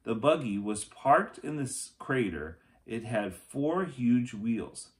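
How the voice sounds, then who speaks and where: A man, reading a children's book aloud as expressive narration. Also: mid-range voice, takes it slowly. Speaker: read-aloud storyteller. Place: small furnished room at home, indoors.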